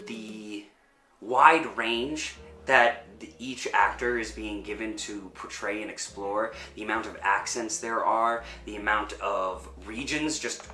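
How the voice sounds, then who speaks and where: A man's voice: on the low side, sharp tone. A man giving thoughtful, reflective interview answers as a performer. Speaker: performer and fight choreographer. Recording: roomy echo with music underneath. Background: background music.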